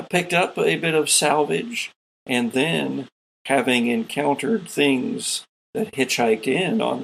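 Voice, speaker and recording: A man hosting and narrating a tabletop role-playing game as game master, with theatrical, deliberate pauses. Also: mid-range voice, creaky, breathy edge, rough recording.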